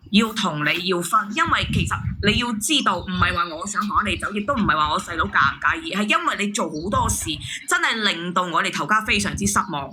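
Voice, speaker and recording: A woman caller airing a family grievance, repeating her words haltingly as she argues. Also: mid-range voice, background noise. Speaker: caller, aggrieved sister-in-law. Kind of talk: airing a family dispute on a call-in show.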